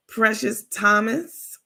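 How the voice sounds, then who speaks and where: A woman with a raspy voice hosting a casual livestream, chatting informally with viewers and reading out their comments. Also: mid-range voice, bright, forceful tone. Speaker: livestream host.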